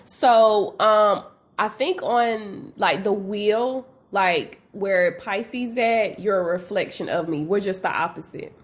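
A woman's voice: mid-range voice, slow pace. A woman in her twenties hosting a podcast, talking casually in chatty, slangy speech. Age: 20s. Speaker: podcast host.

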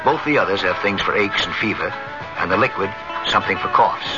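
This is a muffled vintage radio advert with music behind it. A man with a fairly low voice delivers the scripted sales copy as a commercial announcer. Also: brisk. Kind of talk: scripted 1970s radio ad read.

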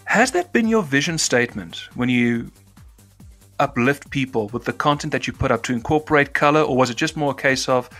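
A man from South Africa whose British-trained accent makes his diction crisp and formal. His voice is bright and forceful, and he builds long, winding sentences as a podcast host. Faint music sits under him. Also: mid-range voice, expressive, a little fast. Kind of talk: casual podcast chat.

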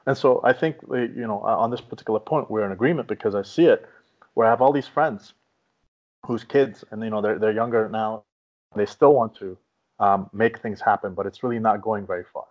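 A slightly muffled, lo-fi recording of a man hosting a podcast. His voice sits a little low and he talks quickly, laying out his points in a long, emphatic, conversational way. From Afghanistan. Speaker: podcast host. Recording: dull lo-fi audio.

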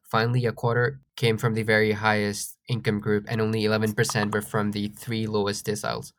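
A man from Pakistan presenting to a panel as its moderator in a measured, explanatory way. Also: on the low side, dry recording. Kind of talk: presenting findings, then putting a question to a panel.

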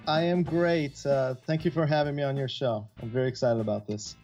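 A man from Italy gives a casual, anecdotal interview. He talks in a chatty, halting way, peppering his phrases with little fillers, at a middling male pitch. The audio is a bit dull and lo-fi. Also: steady tone, darkish tone, faint music behind. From Florence, Italy, and from his teens Florida. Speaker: podcast guest, composer and filmmaker.

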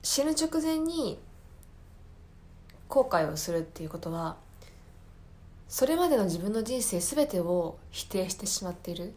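A woman hosting a podcast in a reflective solo talk, speaking earnestly and often pausing to search for her words. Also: mid-range voice, sing-song, darkish tone. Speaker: podcast host. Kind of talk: reflective solo talk, personal film commentary.

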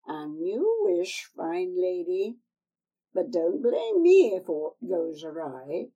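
Woman doing a cackly old crone, high and sly, husky edge. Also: wildly swooping pitch, slow pace, mellow, lo-fi sound, full character voice. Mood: sly warning. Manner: coaxing, harsh, screeching. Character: cackling wheedling old female herbalist crone.

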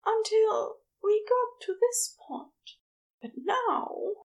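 A woman voicing a tender male lover in an audiobook, soft and breathy, murmuring and groaning as he pleads. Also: very high voice, sing-song, drawn-out words, pitched above the performer's own voice, full character voice, rough recording.

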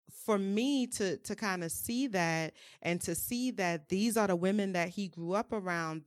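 A woman's voice: lowish voice, clear, resonant tone, dry recording, clean studio sound. A woman from New Orleans, talking openly in a personal conversation, with frequent "um"s and "you know"s. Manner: open and frank, many "um"s and "you know"s.

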